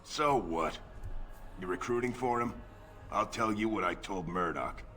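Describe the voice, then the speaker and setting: An actor playing a grumpy, sarcastic dwarf trader in a fantasy game. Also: male voice, lowish voice, a little slow, sharp tone. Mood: sardonic. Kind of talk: video game voice acting.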